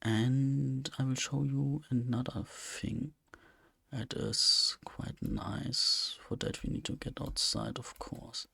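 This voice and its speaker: A man's voice: mid-range voice, fairly even intonation, takes it slowly, gravelly, sharp tone, breathy edge, no room sound. A man giving casual, unscripted video game commentary, rambling and thinking aloud with hesitation. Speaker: let's play host.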